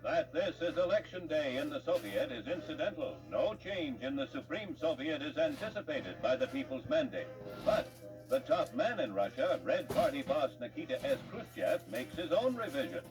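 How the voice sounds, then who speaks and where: A man's voice: a little high, very animated, normal speed. An American man reading scripted 1950s newsreel narration in a dramatic, declamatory announcer style. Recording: muffled distant 1950s newsreel soundtrack, loud music. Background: loud music.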